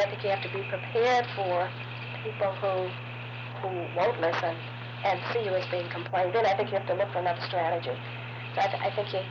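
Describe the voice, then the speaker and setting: Casting: middle-aged woman physician from Oklahoma, measured and advising, mid-pitched, on dull hissy tape. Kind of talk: interview conversation. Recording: dull lo-fi tape with loud hiss.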